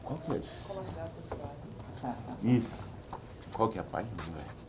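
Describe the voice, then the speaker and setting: A man's voice: fairly low pitch, sing-song, a little slow, a loud crowd. A man moderating an event, chatting casually as he organizes things off-mic. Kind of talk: casual informal organizing chat. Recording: noisy room, off-mic.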